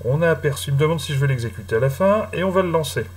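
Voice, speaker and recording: A man giving a casual screen-recorded software tutorial, talking slowly with many hesitant fillers. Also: on the high side, lively intonation. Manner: hesitant, many "euh" fillers, chatty and apologetic. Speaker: tutorial host.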